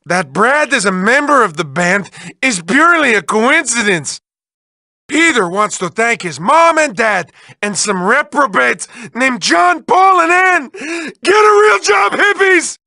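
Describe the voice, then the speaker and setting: A man's voice: very high voice, expressive, unhurried, gravelly, sharp tone, no room sound, clean studio sound, exaggerated voice. A man voicing a manic, lovelorn, whiny mad-scientist villain in a video game, with theatrical, exaggerated delivery that swings between cooing and wailing. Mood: manic, scornful. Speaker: video game villain reading developer messages. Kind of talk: exaggerated comic cartoon voice acting.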